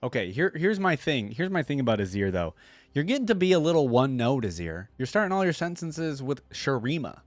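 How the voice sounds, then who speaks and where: A man's voice: mid-range voice, very animated, brisk, no room sound. A man hosting a reaction video, chatting informally and slangily as he muses aloud. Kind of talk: casual chat, reacting to game voice lines.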